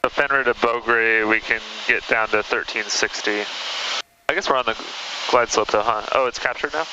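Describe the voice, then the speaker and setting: A man's voice: mid-range voice, steady tone, moderate pace. A man chatting casually as the pilot's companion in a small plane, heard through a headset intercom. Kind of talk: casual cockpit chat. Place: small plane cockpit in flight. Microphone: aviation headset. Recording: muffled, noisy aircraft intercom. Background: engine noise, radio traffic.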